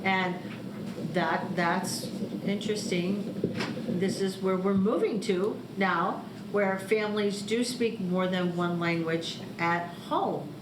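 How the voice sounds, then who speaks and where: A woman's voice: mid-range voice, takes it slowly. A middle-aged woman speaking as a board member in a public meeting discussion. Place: large meeting room. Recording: echoey room with clear background noise.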